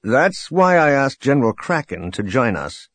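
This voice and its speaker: A man voicing a calm, sympathetic military colonel in an audiobook, quiet and measured. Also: mid-range voice, dry recording.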